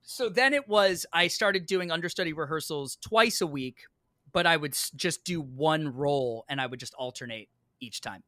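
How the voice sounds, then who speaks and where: Animated man telling casual stories, bright voice. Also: on the high side, moderate pace, no echo, clean studio sound. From United States, New York. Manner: animated, acts out both sides of remembered exchanges. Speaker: podcast guest, stage actor. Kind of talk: casual anecdotal storytelling.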